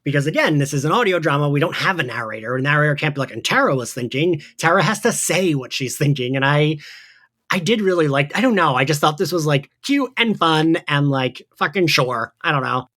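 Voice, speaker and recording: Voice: medium pitch, lively intonation, brisk, a bit bright. A podcast host in casual recap chat, giggly, peppering sentences with "like". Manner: giggly, frequent filler "like".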